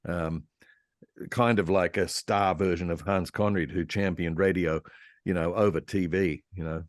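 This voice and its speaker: Deep-voiced man chatting as a historian. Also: steady tone, moderate pace, dry recording, clean studio sound. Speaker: panel guest, radio historian. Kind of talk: casual informed discussion of old radio.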